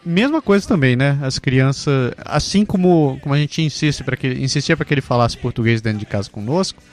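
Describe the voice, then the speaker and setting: A man's voice: medium pitch, expressive, brisk, soft background music. A Brazilian man hosting a casual podcast, telling his own story and passing questions to his co-hosts. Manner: friendly, leads the talk with questions. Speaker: podcast host.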